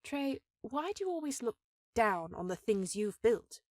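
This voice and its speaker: A woman's voice: on the high side, big pitch swings, measured pace, a little mellow, dry recording, clean studio sound. A woman playing a powerful elven sorceress in a fantasy audio drama, an encouraging sister who talks in an earnest, affectionate way.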